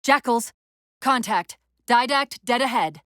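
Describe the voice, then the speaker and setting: A female AI partner from a military sci-fi video game. Her voice is high and bright, recorded close and dry. She is brisk and urgent, snapping out tactical orders with a sardonic edge. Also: animated, dry recording. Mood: focused, brisk. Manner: crisp tactical commands with dry wit.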